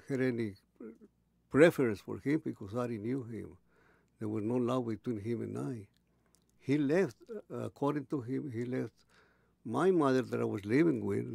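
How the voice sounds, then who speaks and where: A man in his eighties recalling his early life in an oral history interview, halting and restarting as he searches for words. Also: medium pitch, creaky, mellow, breathy edge, dry recording. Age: 80s. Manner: halting, many restarts and pauses.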